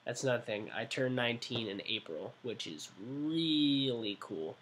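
A man's voice: medium pitch, lively intonation, moderate pace, fairly bright tone, a little hiss or hum, low-quality recording. A nineteen-year-old man talking casually to his webcam in a small room, rambling and correcting himself with many fillers. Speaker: art vlogger. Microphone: webcam at desk.

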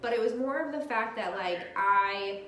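Woman, 30s, stuffy from a cold, candid home vlogger talking a bit loud; echoey dining room, faint hum. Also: fairly high pitch, normal speed. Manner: talks a little loud, candid and open. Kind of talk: personal vlog talk. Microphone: camera across the room.

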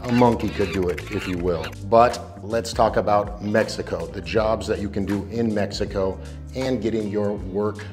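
A man's voice: fairly low pitch, steady tone, moderate pace. An American man, around his thirties, hosting a casual explainer video in an informal, emphatic, slangy way. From United States. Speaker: YouTube host giving expat advice. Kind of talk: casual explainer talk to camera. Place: small indoor home studio. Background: background music.

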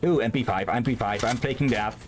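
A man's voice: medium pitch, brisk. A man hosting a game stream, chatting casually and laughing easily. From Argentina. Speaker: streamer host. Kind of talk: casual gameplay chat. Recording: game music behind.

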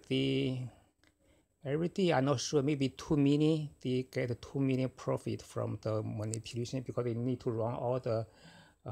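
A middle-aged man whose first language is Chinese, talking casually and haltingly about his trading, with many fillers and restarts. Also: medium pitch, creaky, darkish tone. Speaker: forex trader. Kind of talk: casual screen-recorded trading talk.

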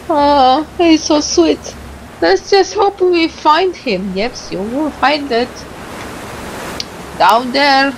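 A woman's voice: high voice, very slow, long pauses, slight background noise, poor audio. A woman giving casual, unscripted game commentary, reacting in short broken phrases. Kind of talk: casual game commentary with bits of on-screen text read out.

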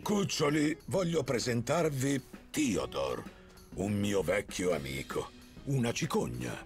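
A man voicing an elderly, wise bear in a cartoon, patient with cubs, with a gravelly, expressive voice. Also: mid-range voice, slow, soft background music. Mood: gentle, patient. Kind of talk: animated cartoon dubbing.

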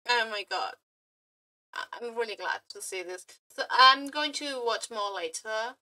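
High, bright-voiced woman, gushing fangirl streamer. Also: animated, poor audio. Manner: gushing, flirty, giggly fangirl; halting, scattered, repeating words. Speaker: aspiring VTuber and streamer. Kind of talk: casual reaction chat to viewers.

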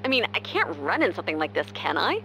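Brash, animated young tomboy. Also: female voice, lowish voice, fast, a little hiss or hum, full character voice, rough recording. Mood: smug, musing. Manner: brassy, blunt, talking to herself or scolding. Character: brash, hot-tempered, vain young tomboy woman. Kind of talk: video game voice acting.

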